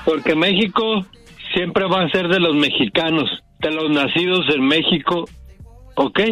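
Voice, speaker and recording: A man's voice: fairly high pitch, a little slow, sharp tone, dry recording, faint music behind. A man who is a regular on a radio show, calling in and arguing bluntly and stubbornly. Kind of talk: call-in banter on radio.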